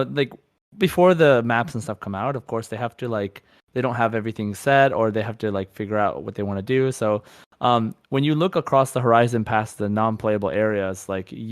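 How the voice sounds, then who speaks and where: A man co-hosting a podcast, chatting casually about a video game in a halting, repetitive way as he searches for words. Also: fairly low pitch, dry recording, studio quality. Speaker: podcast co-host.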